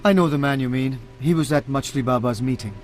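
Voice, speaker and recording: An actor playing a composed, courteous, probing private detective in a radio drama. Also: male voice, mid-range voice, fast, darkish tone, soft background music, close to an everyday voice. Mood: composed, assured. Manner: polite, composed, questioning.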